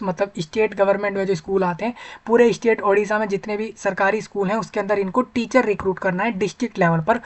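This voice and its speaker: A man hosting an informal explainer video, talking viewers through a job notice and repeating himself in long spoken lists. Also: sits high. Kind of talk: informal explainer video, screen-share commentary.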